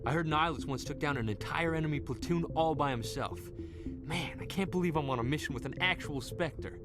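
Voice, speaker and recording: A man playing an eager, excitable young soldier in a video game, earnest and talkative. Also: fairly high pitch, a little fast, music in the background, close to an everyday voice. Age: young adult. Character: eager young male soldier, excitable, hungry to prove himself.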